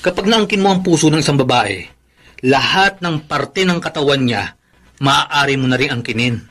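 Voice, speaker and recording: A man's voice: fairly high pitch, normal speed, a bit bright. A man acting a teasing buddy who philosophizes about love in a Filipino radio drama.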